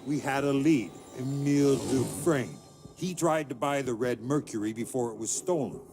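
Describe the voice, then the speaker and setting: An actor playing a hardened, grieving middle-aged undercover spy who speaks in a terse, guarded way in a game cutscene. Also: male voice, medium pitch, moderate pace, over music, natural voice. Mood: defensive. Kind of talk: game cutscene dialogue and narration.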